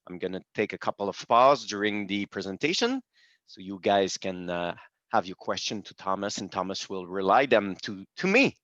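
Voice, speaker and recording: A French-speaking man from Quebec hosting a webinar in English with a Quebec French accent, pausing often and restarting his sentences. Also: on the low side, lively intonation, fairly bright tone, no room sound. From Quebec, Canada. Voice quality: frequent hesitations and restarts in a second language. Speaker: webinar host and presenter. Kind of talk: hesitant presentation. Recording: dull dry video call audio.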